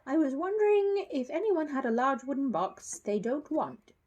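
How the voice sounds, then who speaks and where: A polite young bear played by a woman reading a storybook speaks in a raised, lively voice. He is earnest and matter-of-fact, and quietly firm. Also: sits high, moderate pace, lo-fi sound, pitched above the performer's own voice. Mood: hopeful.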